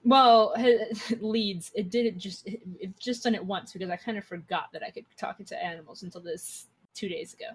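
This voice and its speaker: A woman's voice: medium pitch, normal speed, husky. A woman chatting casually as a player in a tabletop game, informal and self-critical, often repeating herself. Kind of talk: casual chat.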